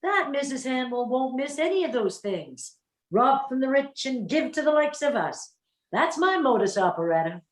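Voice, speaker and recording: A woman's voice: sits high, sing-song, moderate pace. A woman playing a brazen, slangy female crook in a comic radio play, recorded with a roomy echo. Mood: brazen, businesslike. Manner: wisecracking, slangy. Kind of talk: comic old-time radio play acting.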